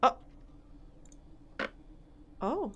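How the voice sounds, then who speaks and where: A young woman streaming a game, chatting casually, reading on-screen text aloud and laughing often, her voice slightly hoarse. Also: drawn-out words, fairly bright tone, a little hiss or hum. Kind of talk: casual let's-play commentary.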